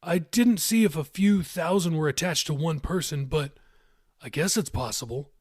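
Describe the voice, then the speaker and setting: A man's voice: on the high side, normal speed, a little mellow, no room sound, studio quality, lightly acted. A man voicing a casual, matter-of-fact young male character in an audiobook. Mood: puzzled, casual.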